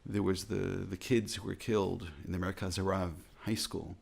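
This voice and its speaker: A man with an American accent, a rabbi giving an earnest, measured reflective talk that repeats phrases for emphasis. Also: low-pitched, level tone, creaky, husky. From United States.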